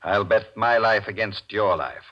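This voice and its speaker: Through a dull vintage radio recording comes a low, slightly husky man's voice. a domineering gambler boss from a mystery drama, cold, mocking and commanding, with deliberate menace. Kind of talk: 1940s radio mystery acting.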